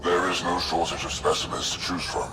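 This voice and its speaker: A man playing a cold, imperious alien overlord in a sci-fi video game, speaking in a measured, grandiose, clinical and menacing way. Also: low voice, lightly acted, poor audio. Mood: cold contemplation. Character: cold, imperious male alien overlord studying captive specimens. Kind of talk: dramatic villain monologue in a sci-fi video game. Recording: loud music under the voice.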